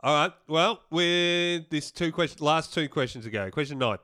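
A man's voice: on the high side, normal speed, bright, forceful tone, smooth, no echo, clean studio sound. An Australian man co-hosting a podcast, bantering casually with a mate in a teasing, blokey way. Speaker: podcast co-host and quizmaster. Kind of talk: casual banter between mates. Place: podcast studio.

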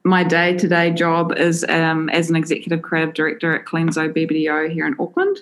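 A New Zealand woman with a notably low voice answers interview questions in a relaxed, chatty way. She sounds enthusiastic and fills her pauses with "um"s. Her answers come across as loose and unscripted. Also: a little hiss or hum. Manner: enthusiastic, frequent "um" fillers. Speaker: interview guest, creative director.

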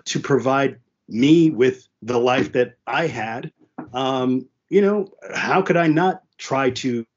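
A man's voice: mid-range voice, highs cut off. A man whose first languages were French and Haitian Creole, telling his story candidly as a panel guest, with lots of "uh" and "you know". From United States (New York and New Jersey). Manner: earnest, frequent "uh" and "you know" fillers.

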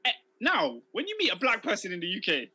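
A man's voice: sits high, brisk, husky, dry recording. A man born in Uganda co-hosting a casual chat, reading viewer comments and talking emphatically. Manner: animated, emphatic, laughs often. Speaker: livestream co-host.

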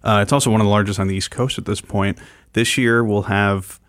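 A man co-hosting a radio show, explaining events informally and enthusiastically, with hesitant pauses and repeated words. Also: lowish voice, fairly even intonation, a bit bright, dry recording, studio quality. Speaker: radio co-host and festival programmer.

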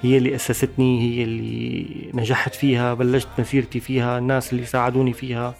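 A man speaking with a Syrian Arabic accent, his voice gravelly with fry. He talks fast but reflectively, stopping to restart phrases, with soft music faintly underneath. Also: medium pitch, completely flat delivery. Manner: thoughtful, pauses and restarts mid-phrase. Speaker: musician interviewed. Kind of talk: reflective interview talk.

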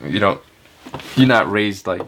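A man in his twenties from Ethiopia, chatting casually as an interview guest in a halting way with many filler words. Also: fairly low pitch, lively intonation, fairly bright tone, slight background noise. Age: 20s. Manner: halting, hesitant delivery with frequent filler words. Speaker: elite runner, interview guest. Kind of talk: casual chat.

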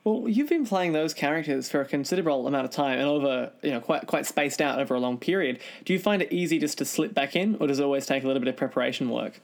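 A man with a fairly high voice hosts an interview, talking fast and politely. His questions come in quick, tidy phrases. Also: lively intonation, vocal fry. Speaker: podcast host. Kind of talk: interview hosting.

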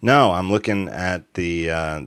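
A man's voice: low-pitched, unhurried, fairly bright tone, smooth, dry recording, studio quality. A man in his forties hosting a podcast, chatting casually and rambling with restarts. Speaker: podcast host. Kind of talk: casual chat.

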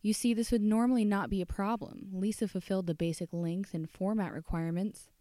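A woman reading as a stern, mellow schoolteacher. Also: mid-range voice, fairly even intonation, a little fast, no room sound, clean studio sound, pitched above the performer's own voice, natural voice. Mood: troubled, insistent. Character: stern, fussy schoolteacher woman, disapproving and formal. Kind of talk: audio short story reading.

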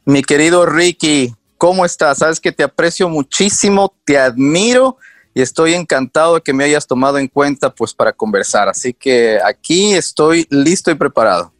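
A man in his forties from Quito with an Ecuadorian accent, a guest telling his story in a casual interview, animated and often laughing. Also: fairly high pitch, moderate pace, no room sound. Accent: Quito Ecuadorian Spanish. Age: 40s.

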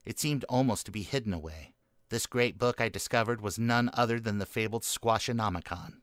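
A man's voice: on the low side, brisk, fairly bright tone, no echo, clean studio sound, close to an everyday voice. A man playing a morose, melodramatic boy in a comic gothic radio play, speaking in florid, solemn storytelling fashion. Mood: solemn, grandiose. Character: morose, melodramatic boy telling a doom-laden family curse tale.

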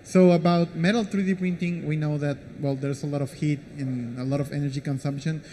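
A man's voice: fairly high pitch, steady tone, fairly quick, mellow, a little hiss or hum. A man in the audience asking the panel a question.

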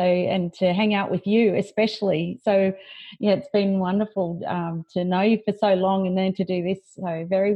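A woman's voice: mid-range voice, warm tone. An Australian-accented woman chatting informally as a podcast guest, telling stories in a casual way. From country New South Wales, Australia. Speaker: podcast guest, branding expert. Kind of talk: casual conversational storytelling.

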